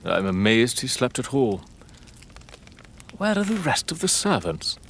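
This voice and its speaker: An imperious lord of a gothic manor, defiant and full of sardonic scorn. His delivery is grand and theatrical at a medium pitch, in audio drama style, over faint background noise. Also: big pitch swings, measured pace. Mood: dry, sardonic. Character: haughty, defiant, gambling English lord of the manor.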